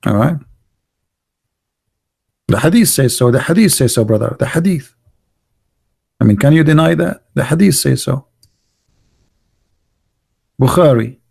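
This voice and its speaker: Sarcastic man with gravelly voice. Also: mid-range voice, animated, unhurried, pauses often, darkish tone. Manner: sarcastic, heavy accented English with broken grammar. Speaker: host of a religious debate show. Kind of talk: mocking live podcast monologue.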